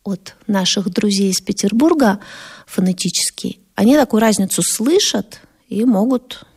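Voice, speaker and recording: A woman from Moscow with a Moscow Russian accent, giving a conversational radio lecture as a linguist, lively and acting out the sounds she explains. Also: mid-range voice, normal speed, breathy edge. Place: radio studio.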